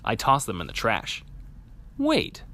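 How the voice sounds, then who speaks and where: A man reading a children's picture book aloud in an animated, expressive storytelling manner. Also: fairly low pitch, a little slow. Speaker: storybook reader.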